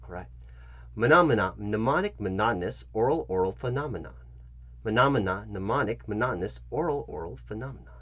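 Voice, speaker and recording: Guy doing a silly interviewer roleplay, lowish husky voice, super animated pitch, keeps repeating himself. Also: no room sound. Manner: fussy, halting, repeats himself, addresses listener directly. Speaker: ASMR channel host acting as job interviewer. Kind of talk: comedic interviewer roleplay monologue.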